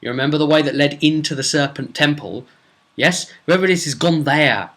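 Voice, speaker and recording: Forceful-voiced man recapping a tabletop game. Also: a little high, brisk. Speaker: game master recapping a role-play session. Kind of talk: casual spoken storytelling recap.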